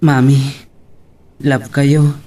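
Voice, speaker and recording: A man's voice: medium pitch, flat delivery, drawn-out words, warm tone. A man playing an aggrieved young son devoted to his mother in an Ilocano family drama. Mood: bitter, upset. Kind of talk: Ilocano family radio-style drama acting.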